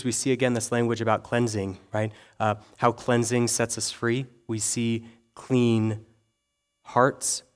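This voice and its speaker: A young adult man preaches to his church in a loose, conversational way, poking fun at himself and often checking in with the room. His voice sits at an ordinary middle pitch. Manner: conversational, self-deprecating, frequent "okay?" and "right?". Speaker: pastor. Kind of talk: sermon.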